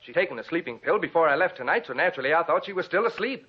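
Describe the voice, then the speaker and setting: A guilty husband squirms in a vintage radio crime drama. His fairly high, husky voice swings widely in pitch, evasive and melodramatic, on a muffled old recording. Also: expressive, rapid-fire. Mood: defensive. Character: defensive, bitter husband who murdered his sick wife. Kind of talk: 1940s radio drama acting.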